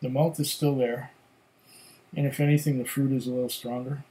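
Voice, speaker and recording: A middle-aged man whose first language was German, giving casual whisky tasting notes at home, musing and pausing between sips, recorded on a webcam at desk distance. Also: mid-range voice, level tone, mellow. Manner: slow, musing, pauses to sniff and sip. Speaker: whisky reviewer. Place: home room with shelves of bottles.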